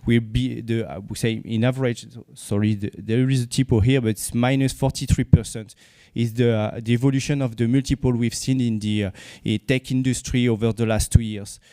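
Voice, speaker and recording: A man with a French accent giving a conference presentation as a company CEO, speaking haltingly with many fillers and restarts. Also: medium pitch, fairly even intonation, dark tone, smooth, no echo. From France. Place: conference venue.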